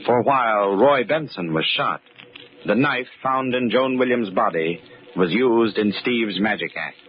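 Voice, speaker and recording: A man narrating an old-time radio mystery in an ominous, dramatic style with deliberate pauses. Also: lowish voice, lively intonation, a little hiss or hum. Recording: muffled old radio recording. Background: music.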